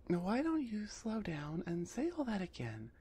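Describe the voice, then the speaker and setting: A woman voicing a gruff female minotaur executioner in an ASMR roleplay, husky and often half-whispered, impatient and sardonic with her captive. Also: medium pitch, wildly swooping pitch, moderate pace, warm tone, heavily acted. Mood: baffled.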